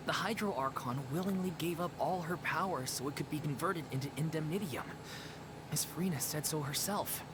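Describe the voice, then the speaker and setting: A man's voice: fairly high pitch, fairly quick, close to an everyday voice. A man playing an earnest, considerate young theater troupe member in an anime fantasy game. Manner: earnest, concerned. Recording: noisy game sound behind voice.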